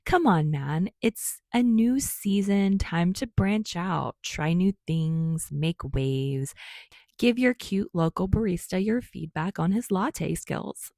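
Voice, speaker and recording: A woman's voice: fairly low pitch, slow pace, a little mellow, no room sound, studio quality, pitched above the performer's own voice. A woman voicing a cheerful, playful young male barista with a teasing, sing-song manner in a story reading.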